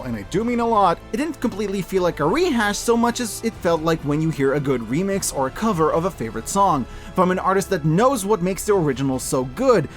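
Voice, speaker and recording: A man in his thirties with a high voice that moves widely in pitch. He delivers scripted film commentary as a video host, emphatic and sarcastic, with clear opinions. Music plays behind him. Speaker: video host reviewing films.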